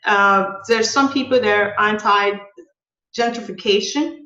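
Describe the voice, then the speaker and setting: A woman from Ecuador, raised in the Bronx, talking haltingly about her tour business in an oral history interview. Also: medium pitch, pushed and bright. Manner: hesitant, restarts often. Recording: roomy, slightly dull audio.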